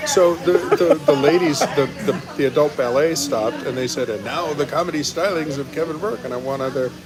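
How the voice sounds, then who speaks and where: A man's voice: on the high side, fairly quick, poor audio. A middle-aged man, a comedian, telling anecdotes casually and often restarting his phrases. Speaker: stand-up comedian guest. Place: restaurant interior. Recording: busy venue with crowd and background bustle.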